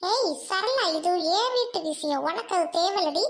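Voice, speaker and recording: A haughty elder sister played by a woman, the snobbish villain of a village drama. Her voice is very high, and she is curt, scornful and commanding. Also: expressive, moderate pace, fairly bright tone. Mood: scornful anger. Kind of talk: village comedy-drama acting.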